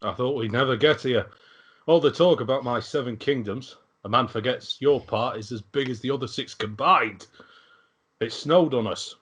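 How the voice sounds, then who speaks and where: A man's voice: medium pitch, normal speed, fairly bright tone, breathy edge. A man playing a boisterous, blunt, imperious fantasy king in his forties at an amateur table read. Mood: hearty, boisterous.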